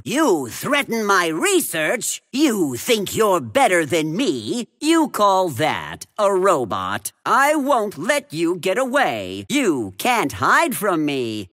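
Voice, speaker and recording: Wildly animated little mad inventor. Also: male voice, high-pitched, no room sound, studio quality, exaggerated voice. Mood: indignant, boastful. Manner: smug, theatrical, scheming. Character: cocky little male keenfolk tinker, gleeful mad inventor. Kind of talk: video game character voice lines.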